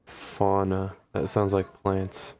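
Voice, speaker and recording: A man talking quietly on a live game stream through a headset, musing aloud and reading in-game text, sometimes stumbling over odd names. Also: deep voice, monotone, slow. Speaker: livestreamer playing a video game. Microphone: headset with noise gate.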